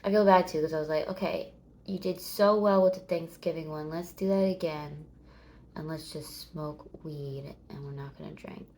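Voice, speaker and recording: A woman's voice: low voice, fairly even intonation, moderate pace, darkish tone, slight background noise. A woman in her thirties chatting casually to the camera, rambling and meandering, swearing now and then. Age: 30s. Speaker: vlogger.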